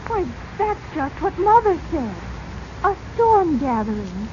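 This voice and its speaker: An actress playing an emotional young daughter in a 1940s radio drama. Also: female voice, very high voice, big pitch swings, takes it slowly, exaggerated voice. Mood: awed. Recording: muffled, noisy old radio recording.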